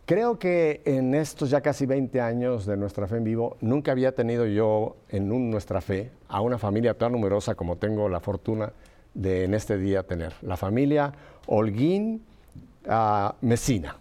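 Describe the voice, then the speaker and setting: A man from Mexico hosting a religious talk show, interviewing guests in an expansive, animated way with playful asides. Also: fairly low pitch, normal speed. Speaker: host of a faith talk show.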